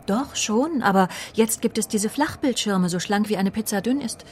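An actress playing a cool, clever police secretary in a radio crime drama, poised and slightly sly. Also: female voice, medium pitch, normal speed, a little mellow, slight background noise, natural voice. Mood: breezy, sly. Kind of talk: natural radio play acting.

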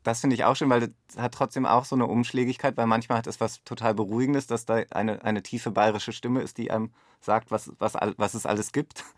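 A man's voice: mid-range voice, fairly even intonation, a little fast, few pauses, dry recording, clean studio sound. A man speaking as a director in an interview, tentatively thinking aloud. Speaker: radio play director. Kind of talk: reflective interview talk.

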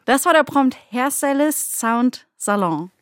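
A woman's voice: high-pitched, lively intonation, a little slow, no echo. A woman interviewing in a casual, curious, informal way in a studio. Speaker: interviewer, co-host. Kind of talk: casual chat. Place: radio drama studio.

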